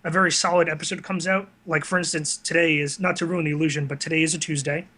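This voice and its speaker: A man talks casually as the guest on an interview show. His voice sits a little high with a crisp, forward brightness, and he explains things earnestly and conversationally. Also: flat delivery, quick pace. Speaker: guest, online video series creator.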